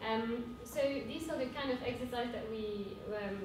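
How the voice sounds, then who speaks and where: French-accented woman in an echoey hall. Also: unhurried. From France. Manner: earnest, hesitant with frequent fillers. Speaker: cooperative speaker. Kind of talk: conference talk. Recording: echoey hall from far off with rumble.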